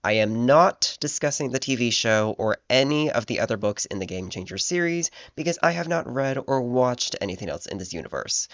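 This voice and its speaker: A man's voice: medium pitch, lively intonation, brisk, few pauses, fairly bright tone, no room sound, dull audio. A man with a congested voice from a cold, reading a scripted book review in a chatty way with asides. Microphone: voice-over over gameplay footage.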